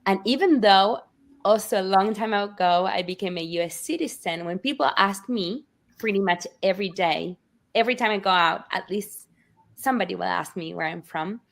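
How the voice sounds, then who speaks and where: A woman's voice: mid-range voice, normal speed, gravelly. Middle-aged woman. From Argentina. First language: Spanish. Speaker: picture book author, guest. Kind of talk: warm personal storytelling in interview.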